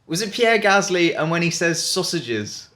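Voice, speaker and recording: A man with a slightly high voice chats casually through a quiz. He thinks aloud, hesitant and musing as he works out each guess. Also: sing-song, normal speed, pushed and bright. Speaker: online video host, quiz player.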